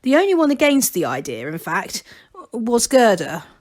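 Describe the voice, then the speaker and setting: A woman reading a children's novel aloud as expressive, scripted storybook narration. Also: on the high side, moderate pace.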